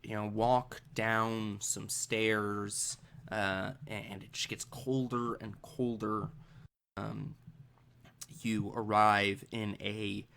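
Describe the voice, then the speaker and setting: A man runs a tabletop roleplaying game, narrating slowly with a creaky edge to his voice. He builds suspense in halting, improvised phrases and lets them trail off. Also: lowish voice, fairly bright tone. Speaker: game master.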